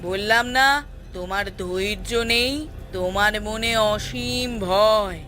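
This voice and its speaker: Stern, measured female holy guru by an actress. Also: mid-range voice, sharp tone, faint music behind. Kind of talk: cartoon horror drama acting.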